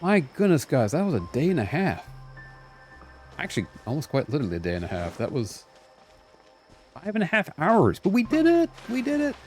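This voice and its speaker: A man's voice: fairly high pitch, huge pitch swings, fairly quick, long pauses, darkish tone. A man chatting casually and playfully with viewers as he streams a game, often exclaiming, with game music behind him. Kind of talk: casual live gameplay chat with viewers.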